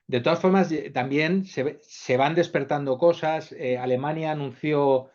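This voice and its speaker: A man's voice: fairly high pitch, moderate pace, darkish tone, muffled recording. A man hosting a webinar interview, summarizing and asking questions hesitantly with many fillers. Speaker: host interviewer.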